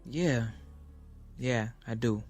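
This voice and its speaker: A man's voice: medium pitch, slow, a little mellow, close to an everyday voice. A man acting a blunt, candid lover in a naturalistic audio drama about a troubled romance. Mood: hesitant, apologetic. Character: blunt, candid lover frustrated with married girlfriend. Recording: music behind the voice.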